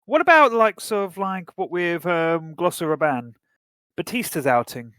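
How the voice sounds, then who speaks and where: Higher-pitched man, bright, dry sound. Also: very animated, normal speed, no echo. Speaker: podcast co-host. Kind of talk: casual film discussion.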